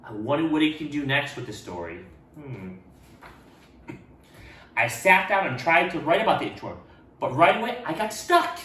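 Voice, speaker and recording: A man reading a picture book aloud to children in an expressive manner, speaking to them directly. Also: a little high, normal speed, long pauses. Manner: expressive, addresses children directly, acts out dialogue. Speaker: read-aloud storyteller for children. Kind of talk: animated picture-book read-aloud with asides. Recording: roomy echo, distant microphone.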